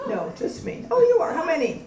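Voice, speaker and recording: A woman from Canada doing a stand-up comedy set, delivering deadpan punchlines and bantering with the crowd on a club stage. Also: very high voice, fairly quick, background noise. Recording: roomy live club sound from a distance with crowd laughter.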